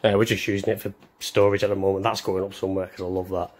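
Young guy doing a house tour on his phone, lowish voice, chatty and jokey, takes the mick out of himself. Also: little pitch movement, fast talker. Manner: chatty, self-deprecating, jokey. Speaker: vlogger. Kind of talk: casual house tour narration. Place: indoors, walking through rooms of a house. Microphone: handheld phone camera.

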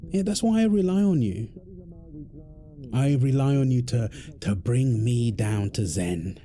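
A man playing an affectionate, tired husband and father in an intimate audio roleplay, talking tenderly with soft endearments. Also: mid-range voice, animated, unhurried, lightly acted. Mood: tender, playful. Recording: clear background ambient noise.

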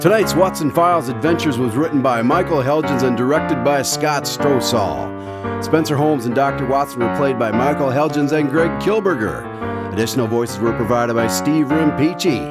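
A man hosting a radio drama show in a scripted presenter's style. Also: medium pitch. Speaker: host. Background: plucked guitar music.

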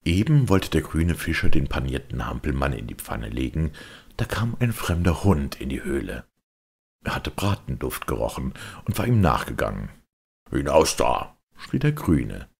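Very deep, gravelly male storyteller. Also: big pitch swings, moderate pace. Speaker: audiobook narrator. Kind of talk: expressive scripted storytelling for children.

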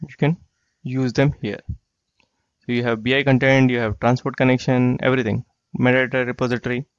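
A man walking through a software tool in a screen-share tutorial, explaining plainly and repeating himself. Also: medium pitch, little pitch movement, a little fast, clear, resonant tone, no echo. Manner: plain explanatory, repeats phrases like "you get the idea". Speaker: software trainer. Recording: dry, slightly dull lo-fi voice track.

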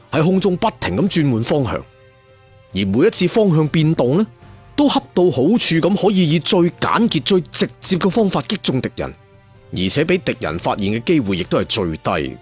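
A man's voice: medium pitch, breathy edge. A man with a Cantonese accent narrating a martial arts story dramatically, with conversational asides. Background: faint music.

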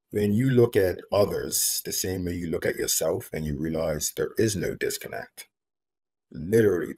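A very low-voiced man from Bermuda speaks earnestly and deliberately, leaning on repeated phrases to drive a point home. He is a guest on a video call from a room at home. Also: a little mellow. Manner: earnest, measured, repeats key phrases for emphasis. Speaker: guest, homeless advocate. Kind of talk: reflective interview talk. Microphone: video call, laptop camera.

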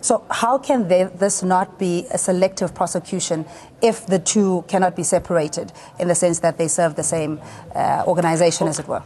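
A woman in her thirties with a South African accent, hosting a studio current affairs panel with halting, searching questions. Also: lowish voice, steady tone, warm tone. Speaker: TV panel host.

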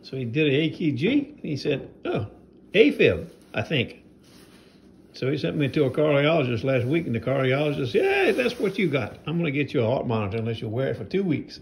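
Folksy older man with a gravelly voice. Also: medium pitch, big pitch swings, brisk, a little hiss or hum. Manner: rambling, folksy, chatty. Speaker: knife sharpener vlogger. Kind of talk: casual home vlog narration. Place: house and yard, handheld phone filming.

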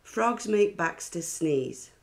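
A woman's voice: fairly low pitch, animated, slow, creaky, full character voice. Woman playing a curt, stubborn little schoolgirl. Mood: dismissive.

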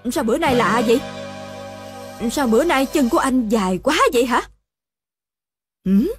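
A woman voicing an excitable, hot-tempered young mother in a cartoon dub, exclaiming and scolding. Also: on the high side, normal speed, lightly acted. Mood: puzzled. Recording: light music behind.

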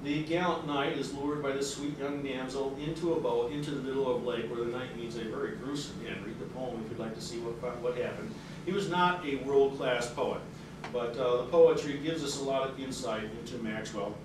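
A man's voice: medium pitch, brisk. A middle-aged man giving a public lecture, talking conversationally with many fillers and restarts. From native English speaker. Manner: conversational, many fillers and restarts, reads quotes aloud with rising energy. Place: carpeted seminar room with audience. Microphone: room mic, away from the speaker at a lectern. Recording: very echoey room from far off, with noise.

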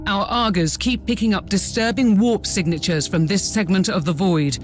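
A man's voice: sits high, moderate pace, faint music behind, lightly acted. An actor playing a dutiful, formal and alert male starship captain in a video game. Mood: reporting. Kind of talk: video game cutscene acting.

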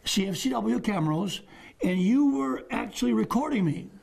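Older male host, raised pitch, husky, gravelly, prompting the guest. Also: animated, moderate pace, darkish tone. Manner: leading, prompting the guest, finishing his sentences. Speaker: TV host and evangelist. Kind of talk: interview questions. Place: small TV studio set, indoors.

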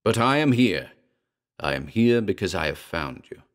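A man reading as a sharp, imperious demon summoner. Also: sits low, sing-song, moderate pace. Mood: austere. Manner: sharp, austere, commanding. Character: imperious demon invoker, a boy in disguise.

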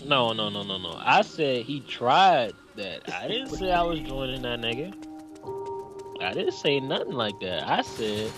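A man hosting a casual gaming chat on voice chat, excitable, swearing and laughing with friends. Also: medium pitch, a little slow. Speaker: host, gamer. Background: game music.

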